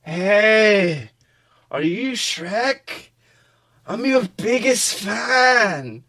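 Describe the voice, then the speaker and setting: A man playing a drunk fan in his twenties with slurred, drawn-out speech. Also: sits high, lively intonation, bright, forceful tone, pitched above the performer's own voice, exaggerated voice. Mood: drunk, fawning. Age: 20s. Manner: drawn-out, stoned-sounding delivery.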